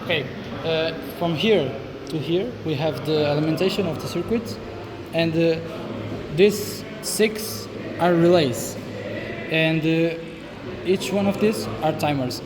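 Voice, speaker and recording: Portuguese man, halting project demo, fairly high voice, echoey room with loud noise behind. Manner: halting, many fillers. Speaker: student team member presenting a project. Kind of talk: casual project presentation.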